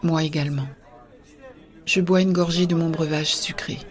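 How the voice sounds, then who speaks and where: A woman playing an earnest, idealistic young-hearted believer in a scripted science-fiction audio drama, with a husky, soft delivery. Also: low voice, steady tone, normal speed, natural voice. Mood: hushed, pensive. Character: Béa, earnest idealistic woman defending a shared-consciousness network. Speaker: voice actor narrating in first person.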